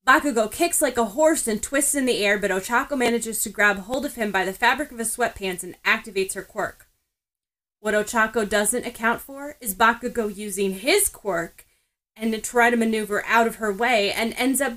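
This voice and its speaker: A woman's voice: on the high side, normal speed, a bit bright. A woman narrating fan fiction expressively, as in an audiobook. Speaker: podfic reader.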